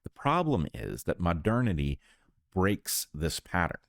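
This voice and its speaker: A man co-hosting a podcast, explaining his ideas conversationally in deliberate, measured phrases with pauses. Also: low-pitched, huge pitch swings, gravelly, no echo, studio quality. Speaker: podcast co-host, biologist.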